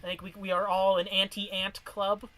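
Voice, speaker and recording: High, bright-voiced man rambling on stream. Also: moderate pace. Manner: rambling, restarts, animated tangents. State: voice getting worn from streaming. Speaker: streamer ranking cartoon characters. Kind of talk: casual chat on a stream.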